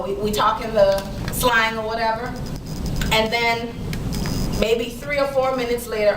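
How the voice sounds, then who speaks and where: A woman from the Bahamas gives a relaxed, chatty talk on public speaking, laughing easily and fielding questions from her audience. Her voice sits a little high. She is heard from across an echoey library meeting room, with clear background noise under her words. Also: far from the mic. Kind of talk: informal talk to a small group.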